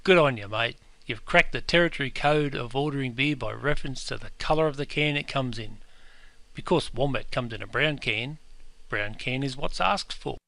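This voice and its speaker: A man with an Australian accent playing a gruff, pedantic pub owner who scolds customers in broad slang, in a scripted comedy dialogue. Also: medium pitch, normal speed, pushed and bright. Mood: scolding. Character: gruff, pedantic Aussie publican, quick to correct. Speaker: publican character.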